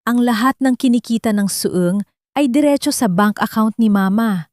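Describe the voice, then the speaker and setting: A woman's voice: on the high side, normal speed, a little mellow, no echo, natural voice. Woman reading earnest, wronged dutiful son, dry sound. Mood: hurt pleading.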